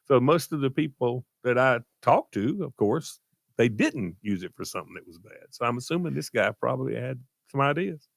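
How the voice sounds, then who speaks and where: A man's voice: mid-range voice, animated, normal speed, creaky, dry recording. A middle-aged man chatting casually and telling personal stories in a folksy way, repeating himself for emphasis. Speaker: radio show regular telling personal stories. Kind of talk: casual chat, personal anecdote.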